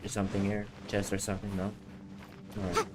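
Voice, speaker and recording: A man's voice: on the low side, monotone, fast, very noisy. A man giving casual, joking live commentary as he streams a video game. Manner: joking, offhand. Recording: game music behind the voice.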